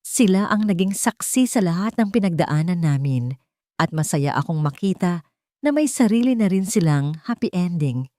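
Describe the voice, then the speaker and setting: A woman narrates a scripted romantic story in the first person. Her voice sits fairly low for a woman. The sound is dry, with very little room around it. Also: lively intonation, normal speed, mellow, no echo.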